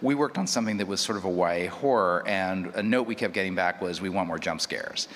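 A man with a very low voice speaks as a panelist in an unscripted discussion. He explains thoughtfully and hesitantly, with long hall reverb and background noise around him. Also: brisk, fairly bright tone. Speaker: panelist, audio drama producer.

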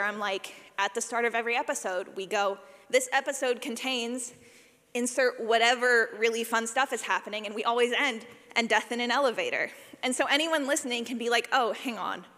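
Bright, high-voiced woman in a reverberant hall. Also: brisk. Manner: animated, self-deprecating, jokey, says "like" often. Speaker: speaker, sound designer for audio fiction. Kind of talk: informal conference talk with audience questions. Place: large reverberant room, live talk.

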